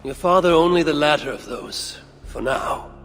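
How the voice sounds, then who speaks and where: A man's voice: fairly high pitch, normal speed, a bit bright, natural voice. Man playing a resolute Norse god, noisy ambience. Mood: cold, pointed. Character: shrewd Norse god searching for his son, gruff and resolute. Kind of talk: dramatic game cutscene acting.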